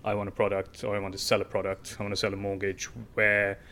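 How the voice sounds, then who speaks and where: A man's voice: on the low side, monotone, fast talker, sharp tone. A young man, a startup founder, answering in a casual interview with long explanations full of fillers and restarts.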